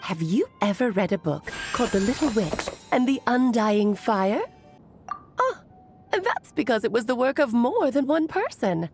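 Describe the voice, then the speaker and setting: A whimsical mage who loves telling stories in a fantasy game. Her voice is fairly high and very animated, grandiose and teasing, with faint music behind it. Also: a little slow. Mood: knowing, dramatic. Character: playful theatrical grown woman mage, whimsical storyteller aunt. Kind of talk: video game voice acting.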